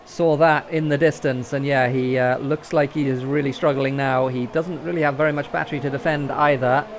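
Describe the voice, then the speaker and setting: A man's voice: fairly even intonation, a little hiss or hum. A man giving live play-by-play commentary on an online racing league, his voice lifting whenever cars battle. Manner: brisk play-by-play that lifts in pitch during battles. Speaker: race commentator.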